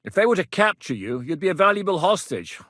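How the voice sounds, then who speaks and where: An actor playing a gruff, blunt, sweary rebel leader in a video game, giving curt orders. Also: male voice, sits high, big pitch swings, fast, a bit bright, no room sound, clean studio sound. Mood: commanding. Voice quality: gruff, commanding. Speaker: game character.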